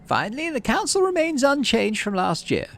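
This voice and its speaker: A man's voice: wildly swooping pitch, normal speed, gravelly, full character voice. A man voicing a proud female governor with a thin, weedy voice, making a formal speech in a narrated game. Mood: proud, stately. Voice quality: thin, weedy, high falsetto. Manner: stately speechmaking.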